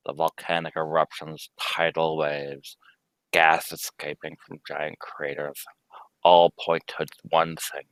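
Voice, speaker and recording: A man playing a solemn, portentous alien scientist in an old-time radio drama, speaking in a measured way. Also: a bass voice, fairly bright tone, dry recording, exaggerated voice, rough recording. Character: grave alien scientist warning of doom.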